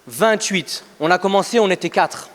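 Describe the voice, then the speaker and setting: A man in his thirties giving a motivational startup pitch on stage, emphatic, repeating phrases for effect as he tells a story. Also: normal speed, heavy background noise. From Afghanistan. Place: large event hall, on stage. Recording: echoey hall with long reverb.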